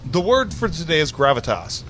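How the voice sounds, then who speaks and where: A man's voice: a little high, sing-song, moderate pace, a bit bright, a little hiss or hum. A man co-hosting a casual chat show, giving easygoing, rambling explanations. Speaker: podcast co-host. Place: bar, live show.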